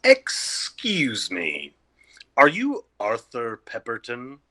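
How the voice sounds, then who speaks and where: Self-important messenger acted by a man, bright crisp attack, swooping pitch, officious comic delivery. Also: huge pitch swings, very slow, heavily acted. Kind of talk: comedic stage play acting.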